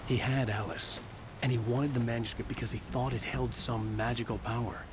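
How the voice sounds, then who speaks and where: A man's voice: medium pitch, fairly even intonation, moderate pace, noisy background, lightly acted. A man playing a haunted, desperate writer in a video game, grave and intense. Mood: brooding narration. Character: haunted, desperate male writer searching for his wife. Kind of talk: video game acting and scripted narration. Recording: music behind the voice.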